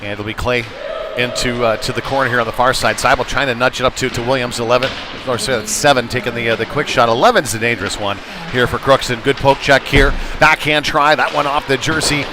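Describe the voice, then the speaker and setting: A man's voice: mid-range voice, fairly even intonation, fast, background noise. A man doing casual, conversational live play-by-play commentary of a youth hockey game from a rink, on a headset. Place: chilly ice rink.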